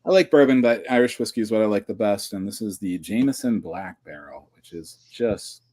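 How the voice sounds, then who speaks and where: A male guest, a game designer, talking in a relaxed, unhurried way. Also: lowish voice, background noise. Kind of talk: casual chat.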